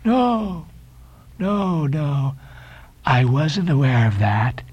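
A man voicing an uncanny, cracked old sailor-prophet in an audiobook, teasing and cryptic, with drawn-out repetitions in seaman's dialect. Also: on the high side, very animated, darkish tone, breathy edge, no room sound, highs cut off, full character voice. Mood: eerie, needling.

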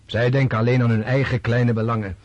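An actor in a Dutch radio drama playing a disillusioned, resolute Russian officer prince, speaking in a deliberate, scornful way. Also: male voice, mid-range voice, level tone, a little mellow, no room sound, muffled recording, lightly acted. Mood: bitter, lecturing.

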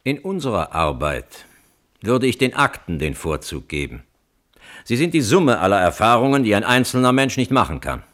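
An actor in a radio play voicing a hardline security colonel who testifies formally and precisely. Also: male voice, lowish voice, big pitch swings, normal speed, fairly bright tone. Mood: matter-of-fact. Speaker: witness at a hearing. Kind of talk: radio play courtroom acting.

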